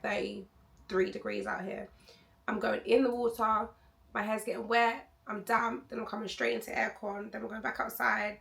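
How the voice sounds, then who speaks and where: A young woman vlogger, hoarse from losing her voice, chatting to camera in a rambling, candid way. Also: mid-range voice, a bit bright. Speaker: travel vlogger. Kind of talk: casual chat to camera.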